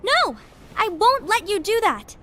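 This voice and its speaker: A woman playing a sweet, naive and emotional young apprentice in an animated fantasy film, with music behind her. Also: very high-pitched, a little slow, heavily acted. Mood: upset, protective. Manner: cute, earnest, expressive.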